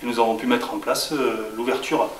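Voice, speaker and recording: Male franchisee from southwest France, interview setting, mid-pitched voice, roomy sound with faint background hiss. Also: fairly even intonation, brisk, distant mic. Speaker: franchise shop owner interviewee. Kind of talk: interview answers. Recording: echoey room, off-mic, faint hiss.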